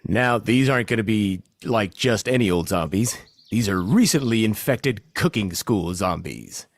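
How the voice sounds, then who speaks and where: A man's voice: lowish voice, animated, normal speed, no echo. A man playing a cocky, chatty zombie extraction team leader in a comedic audio play, briefing in a self-satisfied way. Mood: briskly businesslike.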